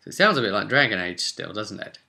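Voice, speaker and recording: A man's voice: on the low side, big pitch swings, fast, vocal fry, sharp tone. A man talking informally in a casual gaming vlog, thinking aloud as a tabletop game master in a conversational way.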